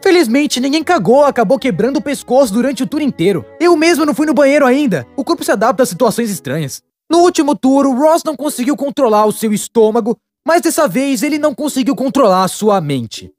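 A man plays an excitable young Brazilian cartoon storyteller. His voice sits very high and swings around with lively, comedic delivery. Light music plays behind his wry, chatty narration. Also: a little fast, hardly any pauses. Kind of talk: animated storytime narration, dubbed.

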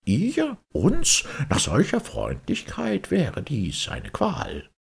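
A man's voice: wildly swooping pitch, slow pace, creaky, slightly rough, full character voice. A man voicing small, naive young oysters in a storybook, with an anxious, pleading manner. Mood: alarmed. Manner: high, pleading.

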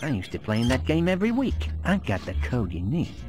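Sleazy wisecracking game arms dealer, middle pitch but really animated. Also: male voice, brisk, music in the background. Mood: sardonic, scheming. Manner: sardonic, wheeling and dealing. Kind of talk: cartoonish video game cutscene acting.

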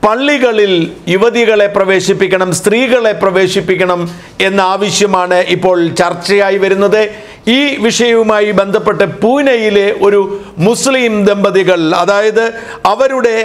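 A man's voice: high voice, a little slow. A man, presenting news commentary to camera from a small studio, explaining at length with frequent "eh" fillers and restarts. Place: small indoor studio office.

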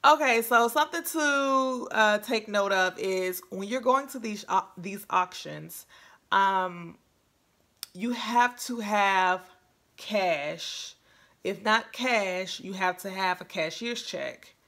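A woman's voice: medium pitch, takes it slowly, fairly bright tone. A woman in her thirties chatting casually to camera as a vlogger, recorded indoors in a room at home. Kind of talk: casual chat to camera recounting an experience.

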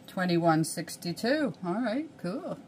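An older woman from Canada talks to her phone camera in a cluttered little kitchen, thinking aloud as she goes. Her voice sits low and she speaks at an unhurried pace. A faint hum runs underneath. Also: very animated, a little mellow. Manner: rambling, thinking aloud, chatty. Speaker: vlogger. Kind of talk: casual vlog chat. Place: small cluttered home kitchen and bedroom, indoors.

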